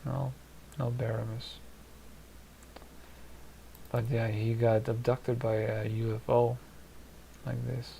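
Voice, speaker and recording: A man from Germany with a German and Polish accent talks casually to his live chat from a home desk, thinking aloud with plenty of fillers. His voice has a gravelly, creaky edge at an ordinary pitch. A faint hiss sits behind him. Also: level tone, takes it slowly, pauses often, warm tone. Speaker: streamer answering chat. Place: small home studio at a desk.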